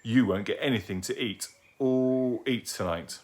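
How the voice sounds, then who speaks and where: A man's voice: medium pitch, a little slow, a little mellow, natural voice. A man voicing a tired, patient mother owl who speaks firmly and motherly. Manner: firm, motherly.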